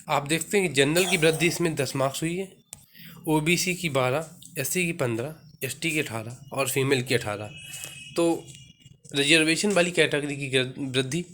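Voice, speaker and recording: Bright, higher-voiced man explaining. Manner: didactic, checks in with "theek hai". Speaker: exam-coaching video host. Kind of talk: informal explainer of exam cut-off figures.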